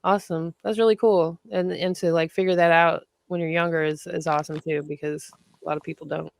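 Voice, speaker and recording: A podcast host with a gravelly, fry-laden voice asks questions in a chatty, upbeat way, sprinkling in plenty of fillers. The sound is dry and close, with little room reflection. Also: mid-range voice, level tone, a little fast, no room sound. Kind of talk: casual podcast interview.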